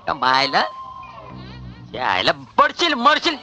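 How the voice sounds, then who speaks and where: An actor playing a cocky, taunting pickpocket thief. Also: male voice, on the high side, wildly swooping pitch, a little slow, pushed and bright, soft background music, exaggerated voice. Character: cheeky male pickpocket thief. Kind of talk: comic film acting.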